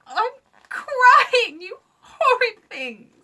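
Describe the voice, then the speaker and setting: A woman's voice: high-pitched, moderate pace, vocal fry, breathy edge, recorded from a distance, full character voice. A woman voicing a young girl in a children's storybook, earnest and pleading. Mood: upset. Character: young girl, upset but sensible.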